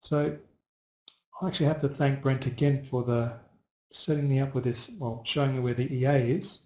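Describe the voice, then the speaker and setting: A man's voice: mid-range voice, steady tone, moderate pace, dark tone. A man hosting a casual live trading webinar, chatting loosely and answering viewers by first name. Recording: roomy echo.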